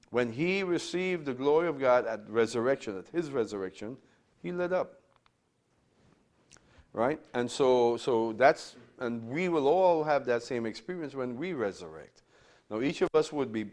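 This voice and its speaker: A man's voice: mid-range voice, darkish tone. Older guy from trinidad, pastor lecturing a bible class, chatty, keeps saying right?, repeats himself a lot. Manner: conversational, frequent "right?" checks and repeated words.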